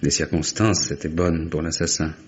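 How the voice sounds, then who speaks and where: An actor playing a resigned, bewildered young murder suspect, halting and subdued, in 1950s French radio drama style. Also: male voice, a bass voice, level tone. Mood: bitterly resigned. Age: late 20s. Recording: dull, noisy vintage radio recording.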